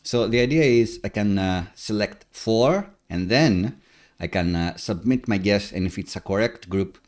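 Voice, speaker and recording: A man's voice: on the low side, unhurried, a little mellow. A man in his thirties, not a native English speaker, hosting a casual live coding stream from a room at home, chatty and upbeat with many filler uhs and small laughs.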